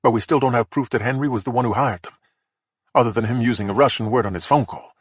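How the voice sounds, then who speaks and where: A composed female investigator who lays out the facts in a level, matter-of-fact way, as a male narrator reads her. The voice is husky and dry, recorded close for an audiobook. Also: medium pitch, quick pace, dry recording, natural voice. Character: calm, methodical female police investigator. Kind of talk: audiobook character voice.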